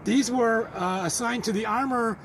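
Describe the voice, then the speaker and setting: A middle-aged man with a fairly high voice leads a walking tour outdoors, laying out railcar history in a plain, knowledgeable way. He is recorded on a camera held by a visitor nearby, with clear outdoor noise behind him. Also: normal speed. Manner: knowledgeable, matter-of-fact. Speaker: museum tour guide. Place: outdoors in a rail yard.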